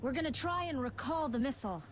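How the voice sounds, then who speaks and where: A woman's voice: high-pitched, animated, fast talker, slight background noise. A woman playing a wisecracking young video game superheroine with a breezy, sardonic manner. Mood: wry.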